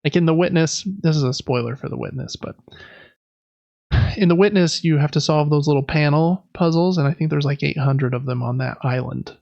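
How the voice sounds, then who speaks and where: A man's voice: on the high side, fairly quick, gravelly, a little mellow, dry recording, muffled recording. A man co-hosting a podcast, chatting casually about video games in a rambling, enthusiastic, informal way. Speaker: podcast co-host.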